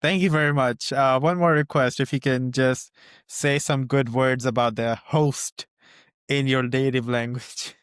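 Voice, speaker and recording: A man with a fairly average-pitched, smooth voice hosts a casual interview. He speaks in a halting way, circling back to rephrase, and the sound is dry and close. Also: steady tone, no echo. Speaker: webisode host.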